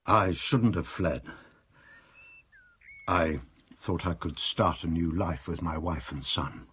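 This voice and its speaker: An actor playing a remorseful wealthy adulterer confessing to police in a radio drama, anguished, halting and full of self-reproach. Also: male voice, deep voice, a bit bright, a little hiss or hum.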